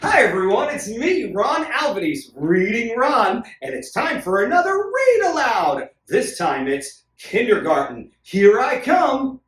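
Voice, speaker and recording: High-pitched, animated male kids' host, roomy sound. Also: measured pace, few pauses. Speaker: host and children's entertainer. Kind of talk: children's show presenting and promotion.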